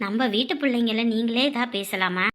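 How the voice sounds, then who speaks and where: Woman as tolerant village woman, high pitch, reasonable, gently challenging, family drama. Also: moderate pace, close to an everyday voice. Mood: mildly reproving.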